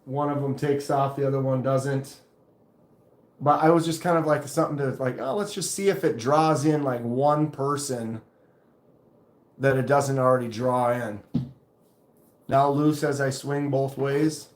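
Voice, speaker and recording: Deep-voiced man chatting on a live stream. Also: unhurried, echoey room. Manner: relaxed, rambling, reads chat aloud. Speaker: knife channel live streamer. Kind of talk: casual live stream chat.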